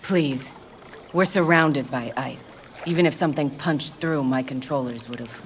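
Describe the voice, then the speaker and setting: An actress playing a blunt, pragmatic nomad techie in a video game, dry and clipped. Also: female voice, a bass voice, moderate pace, slight background noise. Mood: tense, annoyed.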